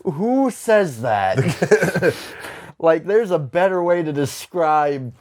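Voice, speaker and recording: A man's voice: fairly high pitch, big pitch swings, extremely slow, darkish tone. A man co-hosting a podcast in casual comedic chat, swearing freely and often half laughing as he talks. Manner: laughs through his words, swears freely. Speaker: podcast co-host.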